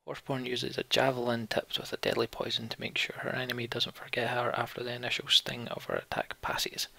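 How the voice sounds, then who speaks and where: A man doing let's play game commentary, reading on-screen text aloud and thinking out loud. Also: mid-range voice, vocal fry, husky.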